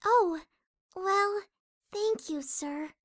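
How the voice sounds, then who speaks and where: A woman voicing a timid, gentle young female pegasus pony in a cartoon-style story, apologetic and polite, with long reverb on the voice. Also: very high voice, extremely slow, exaggerated voice. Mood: shy politeness.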